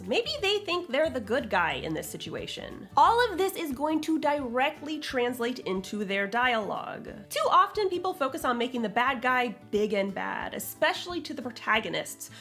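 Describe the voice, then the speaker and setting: A woman's voice: high voice, bright, forceful tone. A woman host presenting scripted writing advice in a blunt, sassy, emphatic way with punchy delivery.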